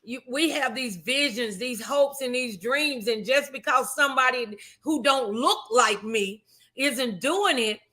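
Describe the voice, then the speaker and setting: A woman's voice: high voice, unhurried, bright, forceful tone. A woman hosting a casual morning show, speaking in an emphatic, motivational and folksy, familiar way. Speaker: talk show host.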